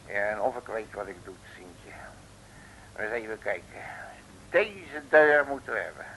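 An actor playing a cocky, folksy detective in an old Dutch radio drama, slangy and boastful. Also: male voice, fairly low pitch, sing-song, normal speed, sharp tone, heavily acted. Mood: hushed, sure. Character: cocky, folksy male detective, fond of his uncle's sayings. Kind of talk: 1950s-60s Dutch radio drama acting. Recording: noisy old radio recording.

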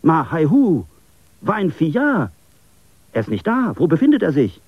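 A man voicing a small, excitable man who frets loudly and gushes over his friend. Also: measured pace, gravelly, slightly rough, dry recording, phone-quality sound, full character voice. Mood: panicked. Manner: shrill, frantic, effusive.

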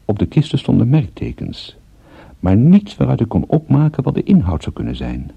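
An actor playing a dry, sardonic private detective who narrates and talks in a radio drama with wry understatement. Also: male voice, medium pitch, wildly swooping pitch, normal speed, creaky, breathy edge, no echo, highs cut off, exaggerated voice. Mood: dry narration. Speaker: lead character and narrator.

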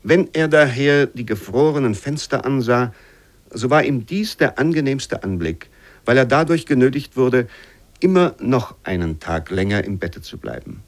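A man reading literary prose for radio in a measured, formal storytelling manner. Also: medium pitch, lively intonation, close to an everyday voice. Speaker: reader of literary excerpts.

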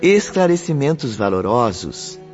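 A man's voice: medium pitch, big pitch swings, unhurried, darkish tone. A man narrating a radio drama from a script in a solemn, stately style. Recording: muffled radio play over faint music.

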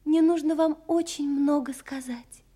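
An actress playing a sweet, shy young girl in an audio play, gently and wistfully voicing her farewell letter. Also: high voice, steady tone, a little slow.

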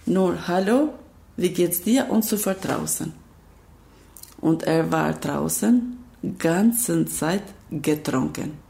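A woman in her fifties from Kosovo, a native Albanian speaker, recounting her past in slow, halting, broken German. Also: lowish voice, creaky, dark tone. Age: 50s. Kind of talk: interview, personal recollection.

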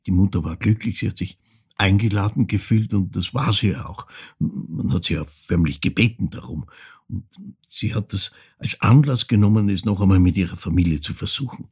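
An actor playing a haunted older man with an Austrian accent, telling of his mother in a halting, intimate theatrical monologue with a husky, creaky voice. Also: deep voice, darkish tone, full character voice. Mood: wistful. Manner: halting, brooding, shifting into whispers.

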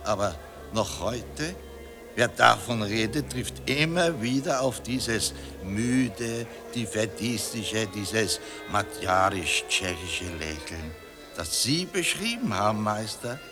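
Radio drama, effusive old-empire monarchist gent, declamatory, very varied intonation, music behind. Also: male voice, lowish voice, lively intonation. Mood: pompous, halting. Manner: pompous, halting, declamatory, effusive. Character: pompous, nostalgic Austrian monarchist gentleman, effusive admirer. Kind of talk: German radio play acting.

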